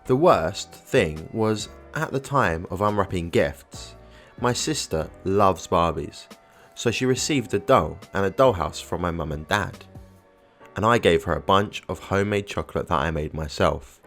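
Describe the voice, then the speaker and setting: A man narrating online posts as scripted storytelling, with an even, matter-of-fact reading. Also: low voice, a little slow, soft background music.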